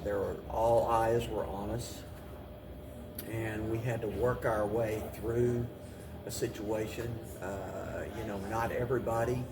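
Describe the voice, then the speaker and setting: A man speaking as an interview guest and city mayor, giving halting, measured answers and often repeating phrases. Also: fairly low pitch. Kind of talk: talk show interview answers. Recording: distant mic, clearly noisy room.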